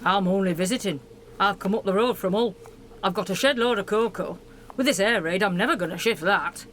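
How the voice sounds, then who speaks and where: A woman's voice: mid-range voice, fairly quick, fairly bright tone, natural voice. A woman acting a plain-spoken, cheeky, informal trader in an amateur radio play. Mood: blunt. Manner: blunt, informal. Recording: faintly noisy amateur recording.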